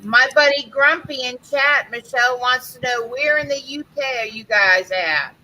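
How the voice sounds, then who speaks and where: A woman hosting a casual live stream video chat, chatty and quick to exclaim. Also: high voice, pushed and bright, poor audio.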